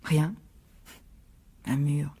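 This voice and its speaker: An actress playing a defensive, exasperated middle-aged divorced mother in a French radio drama, argumentative, with a husky voice. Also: low-pitched, little pitch movement, very slow, dark tone, heavily acted. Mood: weary, subdued.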